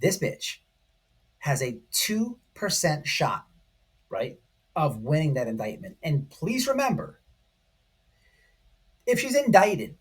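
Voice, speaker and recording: A man giving casual, excitable online commentary, speaking emphatically to his viewers and repeating his points. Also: a little high, takes it slowly, pauses often, husky. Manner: excitable, emphatic, repetitive, addressing viewers directly. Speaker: online commentary channel host. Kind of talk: casual, informal video commentary.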